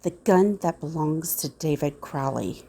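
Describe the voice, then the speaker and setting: A woman's voice: low voice, lively intonation, measured pace, over music. A woman playing an earnest, suspicious private investigator in an old-time radio style drama. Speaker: investigator in an amateur audio drama.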